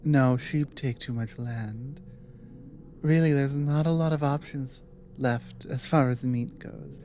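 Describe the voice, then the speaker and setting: A woman playing a weary, earnest dragon ally with magic in an ASMR audio roleplay, speaking in a measured, halting way. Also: a bass voice, warm tone, a little hiss or hum. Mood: earnest, reassuring.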